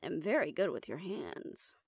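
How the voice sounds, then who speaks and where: A woman's voice: on the low side, very animated, a little slow, husky, no echo, clean studio sound, full character voice. A woman playing a confident, teasing monster hunter in an audio roleplay, with a wry, coaxing manner. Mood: charming, coaxing. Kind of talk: intimate scripted audio drama acting.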